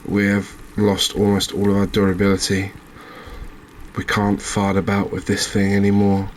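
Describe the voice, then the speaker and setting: A man's voice: fairly low pitch, completely flat delivery, moderate pace, a little hiss or hum. A man hosting a cozy ASMR gaming video, commentating on his play in a rambling, musing way with mild exclamations. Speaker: gaming channel host.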